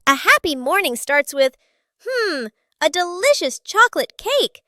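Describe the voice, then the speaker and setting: A woman's voice: very high voice, sing-song, unhurried, pushed and bright, no echo, studio quality. A woman reading scripted children's story narration in short, simple storybook sentences.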